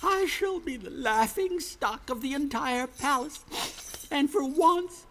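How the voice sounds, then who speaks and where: Woman playing a high, slow, foppish courtier. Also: animated, fairly bright tone, heavily acted. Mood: indignant. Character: foppish, flustered, self-important palace courtier, comic. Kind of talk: comic audio drama acting.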